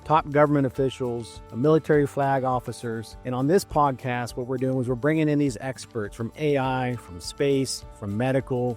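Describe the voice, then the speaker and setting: A man's voice: medium pitch, a little mellow. A man hosting a podcast, opening with upbeat welcoming patter before moving into the interview. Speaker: podcast host.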